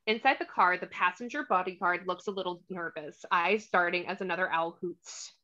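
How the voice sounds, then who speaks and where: A woman's voice: lowish voice, a little fast, sharp tone, dull audio. A woman narrating the stage directions of a screenplay in a scripted read. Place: remote online table read.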